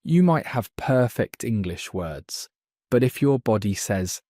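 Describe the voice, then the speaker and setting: A man gives a beginner English lesson in a slow, carefully paced voice. His voice sits a little low. The recording is dry and close, with no room sound. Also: sing-song, dark tone, dry recording. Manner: slow, clear, deliberate delivery for learners. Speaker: English teacher. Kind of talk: slow scripted lesson narration.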